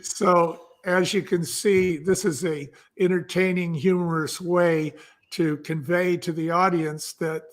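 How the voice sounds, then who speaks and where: A man's voice: on the high side, a bit bright. A man speaking as an interview guest, explaining and storytelling in a conversational, enthusiastic way. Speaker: guest, entrepreneur and author.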